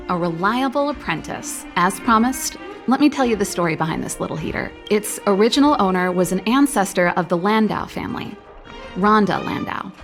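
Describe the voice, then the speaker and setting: A woman's voice: on the low side, close to an everyday voice. A woman playing a breezy, confident older-sister mechanic in a video game, chatty and teasing with a nostalgic streak. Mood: proud, storytelling.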